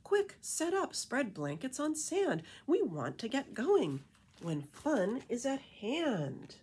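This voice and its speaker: A middle-aged woman reads a children's picture book aloud as a librarian, with rhythmic, animated storytelling and casual asides. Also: high voice, takes it slowly, slight background noise. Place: indoor room with painted wall.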